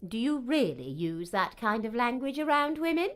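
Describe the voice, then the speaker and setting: Woman acting a lively, motherly old shopkeeper. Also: fairly high pitch, moderate pace. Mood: scolding. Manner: motherly, fussing, chiding. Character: motherly old woman shopkeeper tailor, scolding but caring. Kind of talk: dramatised audiobook character dialogue.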